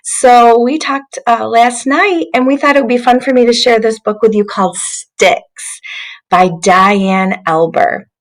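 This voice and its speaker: A woman's voice: a little high, measured pace, rough recording. A woman literacy coach leading an interactive read-aloud for young children, instructive, prompting listeners with questions.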